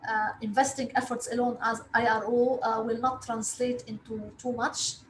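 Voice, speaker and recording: Interview guest: woman from Lebanon, native Arabic speaker, highish voice, halting delivery, roomy echoing sound. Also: little pitch movement, a bit bright. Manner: halting, hesitant delivery with frequent long filled pauses. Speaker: interview guest, investor relations director. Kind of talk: interview answers. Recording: echoey room with faint background noise.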